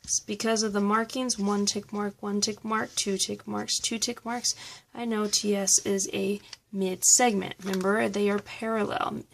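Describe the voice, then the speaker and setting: A woman math teacher talking through review problems step by step, slightly hoarse from losing her voice. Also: medium pitch, level tone, a little slow, a little hiss or hum. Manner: patient, step-by-step explaining. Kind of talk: recorded math review lesson.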